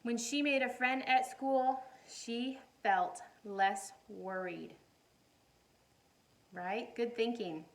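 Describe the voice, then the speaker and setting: A woman teacher reading a picture book aloud to young children, animated and encouraging, often putting questions to her listeners. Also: on the high side. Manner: encouraging, animated, asks questions and pauses for answers.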